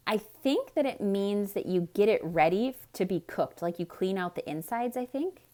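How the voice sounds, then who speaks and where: A woman storyteller with a mid-pitched, highly animated voice. She reads theatrically for children and speaks to them directly, explaining as she goes. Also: normal speed. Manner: expressive, addressing young listeners directly. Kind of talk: theatrical read-aloud for children with explanatory asides.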